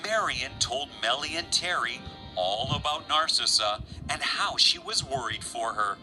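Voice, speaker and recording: A man's voice: mid-range voice, expressive, measured pace, music in the background, low-quality recording. A man narrating a children's fairy tale as a scripted storyteller.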